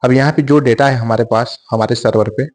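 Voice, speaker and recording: A man talks viewers through exam waiting-list updates in a casual explainer style. He often pauses on fillers, restarts his sentences and keeps calling his audience "guys". His voice sits at an ordinary middle pitch. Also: fairly even intonation, a little mellow. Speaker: exam coaching channel host.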